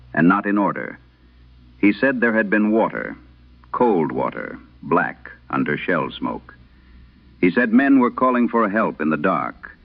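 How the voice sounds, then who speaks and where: A man narrating a scripted horror tale in vintage radio style, solemn and measured, with dramatic pauses. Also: on the low side. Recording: dull, band-limited vintage radio sound.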